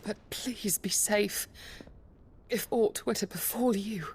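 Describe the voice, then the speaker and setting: An actress playing a devoted, dutiful retainer in a fantasy game, speaking formally and deferentially, with some hesitation. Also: female voice, medium pitch, slow pace, darkish tone, slightly rough, heavily acted. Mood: anxious, reluctant.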